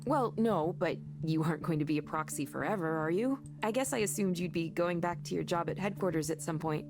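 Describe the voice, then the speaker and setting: A woman plays a frank, kindly game character, a co-worker who speaks conversationally. Her voice sits a little low, over quiet music. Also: a little fast, close to an everyday voice. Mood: friendly curiosity. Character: candid, weary but kindly woman, friendly co-worker. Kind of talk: visual novel voice acting.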